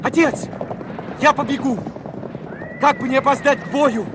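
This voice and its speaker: A man's voice: very high voice, steady tone, measured pace, background noise. An actor playing an eager, naive young soldier speaking to his father in a folksy, wondering way, in Soviet radio play style. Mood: urgent, excited.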